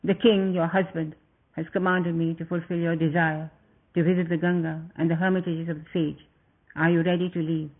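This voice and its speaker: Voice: mid-range voice, fairly even intonation, moderate pace, natural voice. A loyal young prince speaks in a subdued, grief-choked voice, as a storyteller voices him. The sound is narrow and tinny, like a phone call. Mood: sorrowful.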